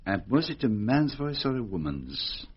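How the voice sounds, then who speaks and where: Belgian detective in a radio play, french-speaking accent, polite and precise, really lilting delivery, bit husky. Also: male voice, medium pitch, big pitch swings. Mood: polite, probing. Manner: polite, precise, measured questioning. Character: courteous, probing, sharp-minded Belgian male private detective. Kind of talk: radio drama acting. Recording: dull, lo-fi radio drama recording.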